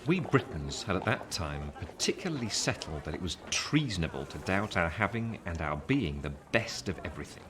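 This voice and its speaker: An actor playing a reflective man narrating his own youth in a literary radio play, measured and wistful. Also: sits low, sing-song, noisy background.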